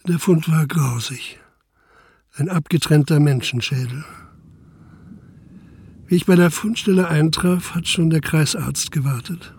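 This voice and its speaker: An actor in a radio drama playing a restrained middle-aged police inspector, curt and matter-of-fact in dialogue and narration. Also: male voice, on the high side, unhurried, pauses often, a little mellow, slightly rough, no echo, full character voice. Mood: sombre narrating. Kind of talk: radio drama acting with first-person narration.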